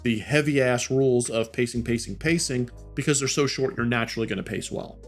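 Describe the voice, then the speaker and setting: A man's voice: mid-range voice, fairly quick. Blunt man chatting over music. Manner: blunt, opinionated, casually profane. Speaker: co-host of a game-making show. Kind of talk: casual advice talk. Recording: music behind the voice. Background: background music.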